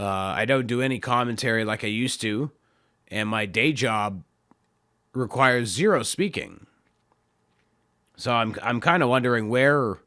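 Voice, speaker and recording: A man hosting his own podcast, talking in a loose, rambling way with lots of fillers, his voice hoarse. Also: on the low side, pushed and bright. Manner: rambling, filler-heavy, self-deprecating. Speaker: podcast host. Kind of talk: casual solo podcast talk.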